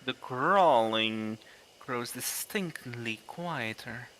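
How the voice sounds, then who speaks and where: A man's voice: medium pitch, animated, very slow, background noise. A man reading a horror visual novel aloud on a livestream, with casual commentary in between. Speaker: livestreamer playing a horror game.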